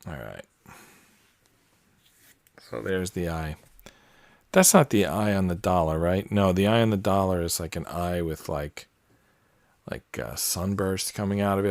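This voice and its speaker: A man's voice: deep voice, no echo. A man in his late forties chatting casually and rambling on tangents while working on a jigsaw puzzle. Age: 40s. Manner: rambling, relaxed, many fillers and tangents. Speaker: puzzle channel host. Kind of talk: casual solo commentary while solving a puzzle.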